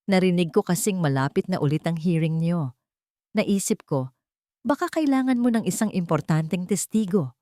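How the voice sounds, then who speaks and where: A woman's voice: on the low side, lively intonation, moderate pace, warm tone, no echo, clean studio sound, natural voice. A woman narrator voicing an arrogant, scheming man who feigns sincerity with self-dramatizing sweetness in an audiobook drama. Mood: smug.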